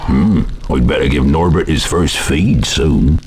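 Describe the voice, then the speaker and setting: An actor playing a big, gruff, kindly groundskeeper in a video game. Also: male voice, rumbling low, measured pace, darkish tone, faint music behind. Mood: doting, grateful. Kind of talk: video game character acting.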